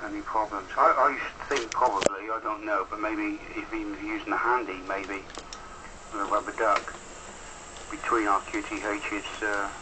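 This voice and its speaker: A man's voice: fairly low pitch, steady tone, moderate pace. A man heard over a two-way radio, rambling matter-of-factly in casual amateur radio chat. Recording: noisy two-way radio transmission through a speaker.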